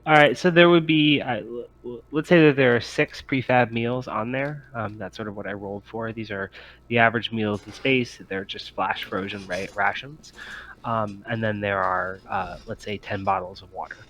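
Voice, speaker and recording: A man's voice: fairly low pitch, moderate pace, fairly bright tone. A man running a tabletop roleplaying game as game master, explaining and improvising details as he goes.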